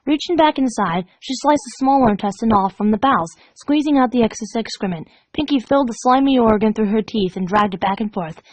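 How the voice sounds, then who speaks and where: High-pitched, expressive woman narrator. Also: fairly quick, hardly any pauses, dry recording, poor audio. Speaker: fan fiction reader. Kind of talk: scripted storybook narration.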